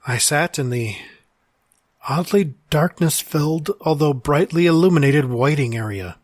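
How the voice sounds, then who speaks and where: A man's voice: fairly high pitch, a little slow, no echo, studio quality. A man playing a melancholy, formal time traveller. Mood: melancholy, reflective. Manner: formal, old-fashioned, reflective wording. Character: melancholy, displaced male time traveller reading his letter. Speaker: writer and performer of an audio drama. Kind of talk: scripted dramatic letter narration.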